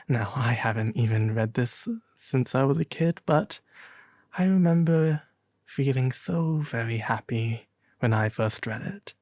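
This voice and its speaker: Guy doing a posh pompous demon prince for asmr storytime, soft n mellow, takes his time w every word. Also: mid-range voice, no room sound, clean studio sound, lightly acted. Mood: grand, gracious. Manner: pompous, refined, drawn-out delivery. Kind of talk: ASMR roleplay storybook reading.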